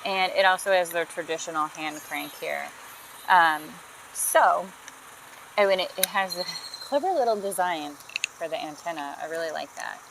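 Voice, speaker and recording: Lady chatting to camera outdoors, lowish voice, faint bugs and cars behind. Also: moderate pace, vocal fry, noisy background. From Texas. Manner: chatty, friendly, uses y'all. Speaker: host. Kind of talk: casual product demo vlog.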